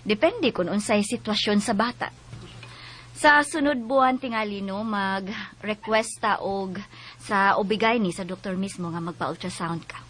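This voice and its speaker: An actress with a Cebuano accent playing a brisk, gently scolding midwife in a Bisaya radio drama. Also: female voice, mid-range voice, a little hiss or hum, lightly acted. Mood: brisk, chiding.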